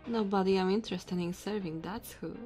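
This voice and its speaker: A woman's voice: mid-range voice, a little fast, warm tone, faint music behind, natural voice. A woman playing a sassy, flirty diner waitress in an ASMR roleplay, teasing and chatty.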